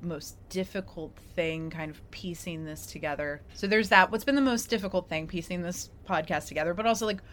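A woman's voice: on the low side, a little fast, few pauses, a bit bright. A woman hosting a podcast interview in a casual, conversational way. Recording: clear background noise.

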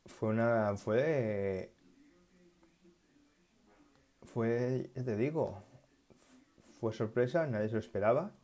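A man chatting casually about tech news, halting often and correcting himself as he goes. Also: mid-range voice, mellow. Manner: halting, restarts and self-corrections, musing. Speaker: tech vlogger.

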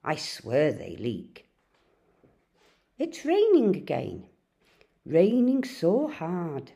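A woman reads poetry with dramatic, deliberate expression. Her voice is low and a little husky, with a soft, dark tone, and it moves through a lively range. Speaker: poet and reader.

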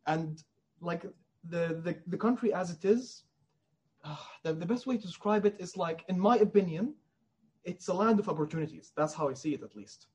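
High-voiced husky man, earnest. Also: normal speed, a little mellow. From Middle East. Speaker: indie game developer being interviewed. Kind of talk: conversational interview.

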